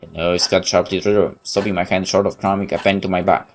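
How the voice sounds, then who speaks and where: A man's voice: low-pitched, level tone, fast, noisy background. A man reading visual novel narration aloud dramatically, with casual asides as a gaming host.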